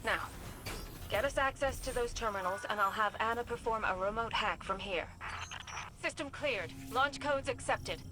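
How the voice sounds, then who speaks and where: An actress playing a composed mission commander in a video game, giving clipped tactical instructions. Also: female voice, fairly high pitch, normal speed, over music, close to an everyday voice. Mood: focused, urgent. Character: composed female mission commander guiding a soldier remotely. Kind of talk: scripted video game voice acting.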